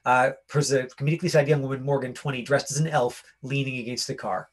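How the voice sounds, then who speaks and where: A man's voice: medium pitch, steady tone, fast, breathy edge. A man teaching as an acting coach, informal and rambling, often checking in with his listeners as he explains and directs. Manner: rambling, checks understanding with "right?".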